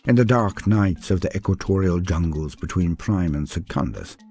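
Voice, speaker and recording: Deep, theatrical male lore narrator. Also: animated, moderate pace, mellow, soft background music. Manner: theatrical, grandiose, formal address to the listener. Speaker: narrator of a Warhammer lore guide. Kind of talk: scripted dramatic lore narration.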